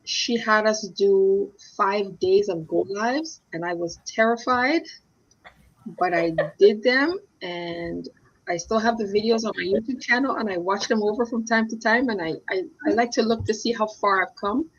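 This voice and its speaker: A middle-aged woman from Barbados answers as a guest, measured and reflective. Her audio sounds a bit dull and lo-fi. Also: mid-range voice. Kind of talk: casual interview answers.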